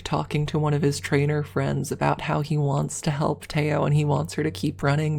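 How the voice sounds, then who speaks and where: Low, creaky-voiced woman chatting casually. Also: a little mellow. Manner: gushing, hyperbolic fan talk. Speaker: streamer answering viewer chat. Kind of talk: casual rambling livestream chat with ASMR triggers.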